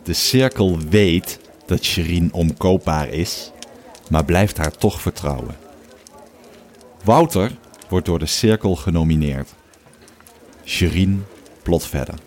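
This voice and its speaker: Narrator, male: extremely low voice, reading scripted event summaries and stage directions. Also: slow pace, pauses often, a little mellow.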